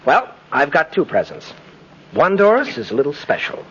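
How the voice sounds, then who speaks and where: A man's voice: a little high, huge pitch swings, moderate pace, a bit bright. An actor playing an earnest, romantic male lawyer in a theatrical, emphatic 1950s radio style. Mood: warm, teasing. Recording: muffled, hissy old radio broadcast.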